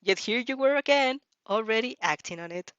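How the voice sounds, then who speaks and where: A woman reads fiction aloud with a casual, reactive delivery. Her pitch is average, and the sound is very dry with little room, on a slightly dull, lo-fi recording. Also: lively intonation, brisk, a bit bright, no echo. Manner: giggly asides, stumbling over words while reading. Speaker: reader reacting to fan fiction.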